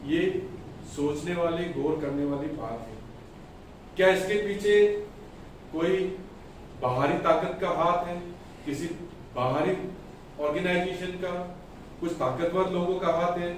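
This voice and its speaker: A man delivers a political press statement as a party spokesperson, emphatically and deliberately in short phrases. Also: a little high. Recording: echoey room, distant mic, faint noise.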